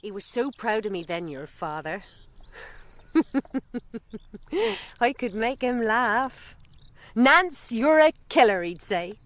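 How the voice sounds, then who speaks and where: A woman's voice: a little high, lively intonation, slow pace, long pauses, a bit bright. An actress playing a wistful mother in a radio drama, dreamily and proudly reminiscing about her husband. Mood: wistful, fond.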